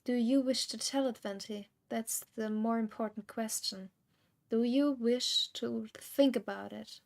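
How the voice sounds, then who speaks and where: A woman streamer comments casually on a game in a fairly high voice. She talks slowly and haltingly, reflecting out loud as she goes. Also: fairly even intonation, gravelly. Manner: halting, reflective, thinking aloud. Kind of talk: casual live gameplay commentary.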